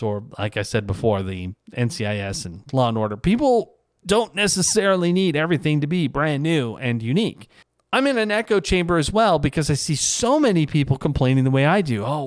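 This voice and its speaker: A man's voice: fairly high pitch, no room sound, studio quality. A man talking casually and conversationally as a vlogger, giving his opinions in a self-deprecating monologue.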